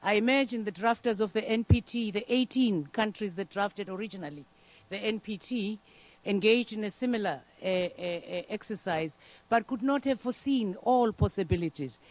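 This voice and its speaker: High-voiced delegate, man. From South Africa. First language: Xhosa. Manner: measured, deliberate, occasional fillers. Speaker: diplomatic delegate. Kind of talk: formal conference statement.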